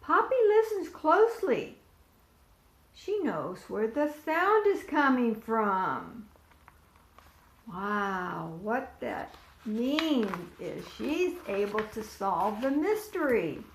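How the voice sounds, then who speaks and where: A woman's voice: on the high side, very animated, very slow, a little hiss or hum. A woman volunteer reading a picture book aloud to preschoolers, asking them questions and pointing out the pictures. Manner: asks young listeners questions and points to pictures. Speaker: volunteer storyteller. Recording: echoey room.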